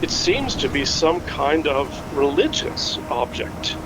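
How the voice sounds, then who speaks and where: Man acting as a measured, scholarly explainer, noisy recording. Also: fairly high pitch, sing-song. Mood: measured, informative. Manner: measured, scholarly, careful phrasing. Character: knowledgeable, measured amateur historian named Steve. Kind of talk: naturalistic fiction podcast acting, explanatory.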